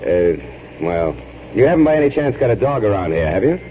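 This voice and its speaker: A man's voice: fairly low pitch, big pitch swings, a little fast. An actor playing a reluctant, cynical newspaperman with a dry wisecracking manner, in 1940s radio style. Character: wry, reluctant young newspaperman, easygoing and cynical. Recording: dull, hissy 1940s radio recording.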